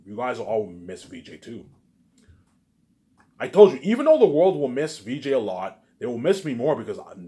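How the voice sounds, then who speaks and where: A man with a somewhat low voice talks very fast to his online viewers. He rambles and boasts, swearing freely and repeating his phrases, and his delivery jumps into louder bursts before settling back down. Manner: repetitive, restarting phrases over and over; profane, boastful ranting with sudden loud bursts.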